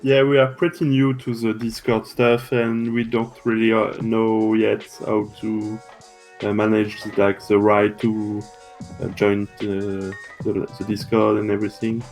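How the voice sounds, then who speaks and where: A man's voice: fairly low pitch, little pitch movement, measured pace, a little mellow, faint music behind. A man hosting a live stream, talking casually and searching for words. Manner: hesitant, lots of uh fillers. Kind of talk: casual chat.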